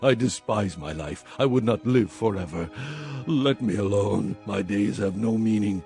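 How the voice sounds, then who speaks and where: A man's voice: on the low side, unhurried, vocal fry, a little mellow. An actor playing a despairing, suffering man who laments in solemn anguish in a dramatized scripture reading. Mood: weary despair. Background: music.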